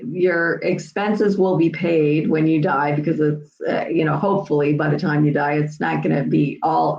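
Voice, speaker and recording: Chatty woman in her sixties, echoey. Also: on the low side. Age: 60s. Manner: chatty, repeats herself, checks in with "all right?" and "okay?". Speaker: host. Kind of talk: casual explanatory livestream talk. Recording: echoey room, dull lo-fi sound.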